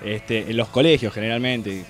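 A man's voice: on the low side, normal speed, music in the background. A man with a Buenos Aires accent giving a colloquial, rambling opinion column on film for a radio show. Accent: Argentine, Buenos Aires. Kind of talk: casual opinion commentary. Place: radio studio.

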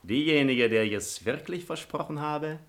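An actor playing a glib, ardent noble seducer in a theatrical 1950s German radio drama. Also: male voice, a little high, moderate pace, lightly acted. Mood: evasive, glib. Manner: theatrical, wooing, flowery speech.

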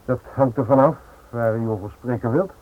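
A man's voice: medium pitch, normal speed, natural voice. A man acting a worried, evasive businessman in a radio play, hesitant and nervous under questioning. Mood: wary surprise. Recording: tinny, narrow old radio recording.

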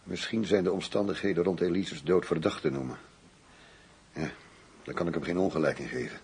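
A man's voice: deep voice, brisk, creaky, a little mellow, slight background noise. Diepe, bevlogen politieman uit een hoorspel. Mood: measured. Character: driven male police investigator, proud and self-assured. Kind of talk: radio drama acting.

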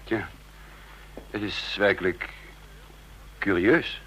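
A man's voice: deep voice, takes it slowly, a bit bright, a little hiss or hum. Een man die in een hoorspel een onrustige geheim agent speelt, die aarzelend en piekerend zijn eigen raadsel vertelt, op een oude radio-opname. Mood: wary, puzzled. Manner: brooding, hesitant, self-questioning. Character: uneasy male secret agent narrating his own puzzle. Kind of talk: radio drama acting, first-person narration. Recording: dull lo-fi old radio recording.

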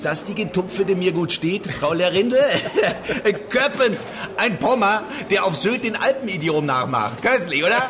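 An actor playing a boisterous film-world guest who tells old anecdotes with theatrical, mocking relish in a radio play. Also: male voice, high-pitched. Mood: gleeful mocking. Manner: theatrical, exuberant, mocking storyteller. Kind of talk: radio drama acting. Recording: lively crowd chatter behind.

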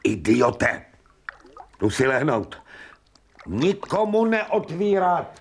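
An imperious, educated professor at the end of his patience in a radio drama. His fairly high voice swoops with theatrical exasperation, over faint background noise. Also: big pitch swings, gravelly. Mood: weary, exasperated. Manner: exasperated, imperious, formal address. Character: irascible, educated male professor at wit's end. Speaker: actor in a radio play.

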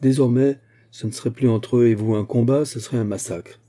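A shrewd bishop who was once a musketeer, acted out by a male narrator. He speaks solemnly and with measured authority. The voice is very dark and husky, as in a storybook adventure. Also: mid-range voice, steady tone, lightly acted. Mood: solemn, commanding.

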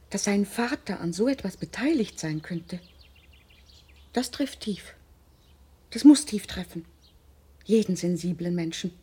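A composed, determined upper-class mother in a radio drama. Her voice is strongly husky and mellow, at a middle pitch. She speaks formally, in carefully built sentences. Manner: measured, formal, articulate. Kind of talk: German radio drama acting.

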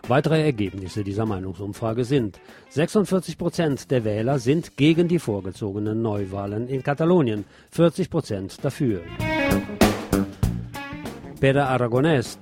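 A man's voice: medium pitch, mellow. Male news presenter, mid-range voice, scripted reporting with short asides, faint music behind.

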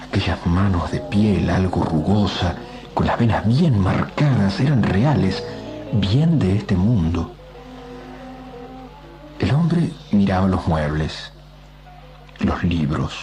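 An actor playing a comics writer who narrates a strange visitation in a radio play, measured and suspenseful. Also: male voice, low-pitched, animated, music in the background. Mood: suspenseful wonder.

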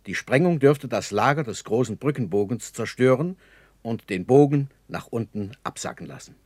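An actor playing a sober army engineer captain giving formal, precise reports in a German radio play. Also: male voice, mid-range voice, expressive, moderate pace, a little mellow, dry recording, clean studio sound, close to an everyday voice. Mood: sober, reporting. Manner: matter-of-fact, formal, precise.

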